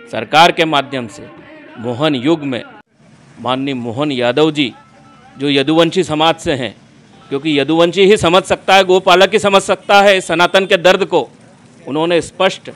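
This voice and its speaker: A middle-aged man speaks. He answers interview questions like a political orator, stressing and repeating his phrases deliberately. He is recorded indoors on a handheld reporter's microphone. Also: a little high, fairly bright tone. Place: small furnished room indoors, seated on a sofa. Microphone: handheld reporter's microphone held close.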